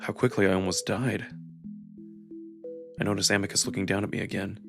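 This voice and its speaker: A man's voice: sits low, flat delivery, a little fast, gravelly. A man narrating a first-person story dramatically, as a voice performer reading a visual novel. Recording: music behind the voice.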